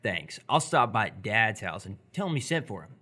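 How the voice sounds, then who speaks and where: A man playing a nervous, incredulous 17-year-old boy in live radio theatre, hesitant and apologetic with sardonic outbursts, in a husky voice. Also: on the low side, sing-song, normal speed, bright, forceful tone, full character voice.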